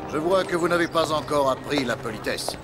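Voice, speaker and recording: A man's voice: on the high side, fairly quick, close to an everyday voice. A man playing a smug cartoon villain who threatens with mock courtesy. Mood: smug, threatening. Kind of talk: dubbed cartoon acting. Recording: loud music over the voice.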